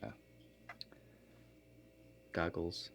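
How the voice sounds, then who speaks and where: A man playing a laid-back construction contractor in a soft-spoken ASMR role-play, talking in a halting, matter-of-fact way. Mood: calm, matter-of-fact. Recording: faint background noise.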